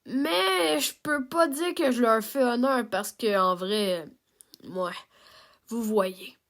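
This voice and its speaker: A woman's voice: on the high side, takes it slowly, fairly bright tone. Chatty young Québécois woman. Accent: Québécois French. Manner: chatty, self-deprecating, many fillers. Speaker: amateur animator and creator. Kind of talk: casual self-narration and outro.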